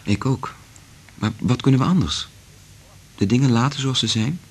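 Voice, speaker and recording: An actor playing an earnest, resolute scientist in love in a radio play, speaking in a measured, intimate way with a husky voice. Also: male voice, mid-range voice. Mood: earnest, pleading. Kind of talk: Dutch radio play acting.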